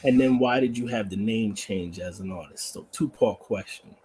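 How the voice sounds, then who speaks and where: A man's voice: on the low side, normal speed, dark tone, slight background noise. A man hosting a podcast, asking questions in an informal, slangy, chatty way. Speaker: podcast host, interviewer. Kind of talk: casual interview chat.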